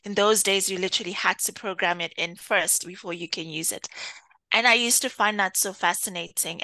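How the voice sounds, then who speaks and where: A woman from Kenya being interviewed, speaking thoughtfully with frequent pauses and easy laughs. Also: fairly low pitch, pushed and bright, no echo, low-quality recording. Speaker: interview guest, data executive. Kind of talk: conversational interview.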